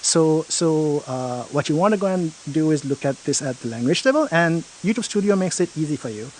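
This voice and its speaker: A man's voice: fairly high pitch, animated, fairly quick, a little mellow, a little hiss or hum, rough recording. A man in an interview explaining a product feature step by step, often checking in with "right?".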